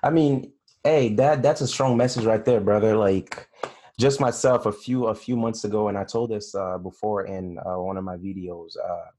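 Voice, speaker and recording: A man's voice: on the low side, fairly even intonation, normal speed, mellow. A man in his late twenties hosting a casual interview, speaking in a friendly, encouraging way. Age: 20s. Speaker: host.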